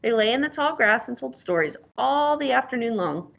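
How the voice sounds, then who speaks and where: A woman's voice: on the high side, animated, normal speed, creaky, a bit bright, dull audio. A woman reading a storybook aloud like a teacher, encouraging and prompting the listener with questions.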